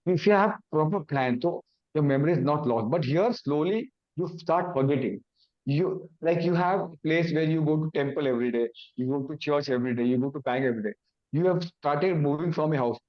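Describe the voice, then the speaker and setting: A man's voice: on the high side, a little fast, lo-fi sound. A man with an Indian English accent, a native Hindi speaker, giving an awareness talk in simple, repeated phrases. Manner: explains in simple repeated phrases, acting out confused thoughts. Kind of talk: awareness talk with everyday examples.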